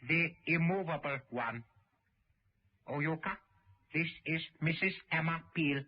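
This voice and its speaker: Stern Japanese dojo master, radio drama. Higher-set male voice, deliberate and sententious, husky. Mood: stern, calm. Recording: muffled vintage radio recording.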